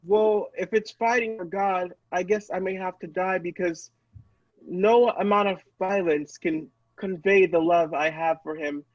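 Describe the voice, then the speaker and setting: A man's voice: high voice, steady tone, a little slow, no echo. Guy playing a mild preachy angel, hesitant and earnest, dry muffled audio, improv comedy. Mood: devoted. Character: meek, devoted, preachy angel. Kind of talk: improvised comedy radio play. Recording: slightly dull, dry close recording.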